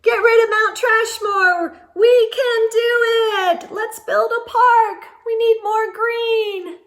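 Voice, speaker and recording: Eager storybook townsfolk at a rally, voiced by one woman. The voice is very high and loud, calling out like a campaign chant. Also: fairly even intonation, slow, full character voice.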